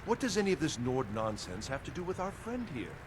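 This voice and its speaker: An actor playing a haughty, fussy and skeptical steward in a fantasy video game, with a pompous manner. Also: male voice, medium pitch, sing-song, brisk, noisy background, natural voice. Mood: disdainful.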